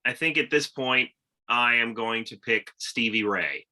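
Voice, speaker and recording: A man co-hosting a casual podcast, upbeat and teasing. His voice is very bright and sharp, at an ordinary pitch. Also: a little slow. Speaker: podcast co-host.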